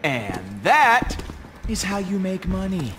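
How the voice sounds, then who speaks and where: Game lead: brash, joking space rogue, high and hugely animated, unhurried, noise behind. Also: male voice, soft background music, exaggerated voice. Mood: smug. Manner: wisecracking, brash. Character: cocky joking space outlaw man, adult. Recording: game audio with clear noise.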